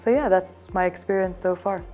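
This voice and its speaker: A woman's voice: medium pitch, normal speed, dark tone, soft background music. A woman in her thirties from Zambia, speaking as a hotel events representative. She gives upbeat, promotional interview answers and keeps circling back to one theme.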